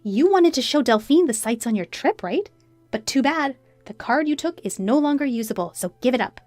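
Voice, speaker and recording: A woman voicing an indignant, scornful betrayed wife in a narrated story, sarcastic and forceful. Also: high-pitched, lively intonation, normal speed, no echo, faint music behind, pitched above the performer's own voice. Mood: cold.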